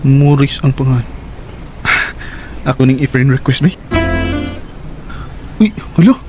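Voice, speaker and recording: A man's voice: mid-range voice, animated, unhurried, music in the background, lightly acted, poor audio. An actor playing a young man who works at a burger shop and falls for a girl online, in a radio drama. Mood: chatty. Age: young adult. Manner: eager, giggly, earnest.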